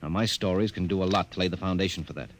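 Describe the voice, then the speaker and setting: A blunt, skeptical reporter, voiced by a man for a 1950s radio play. His voice sits a bit low and he questions everything plainly. A light hiss runs behind it. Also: very fast, lightly acted. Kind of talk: 1950s radio drama acting.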